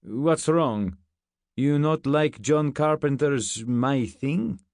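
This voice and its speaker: Jovial, blunt Russian guard. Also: male voice, mid-range voice, expressive, a little mellow, dry recording, studio quality. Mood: eager, friendly. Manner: broken English, blunt, slow phrasing.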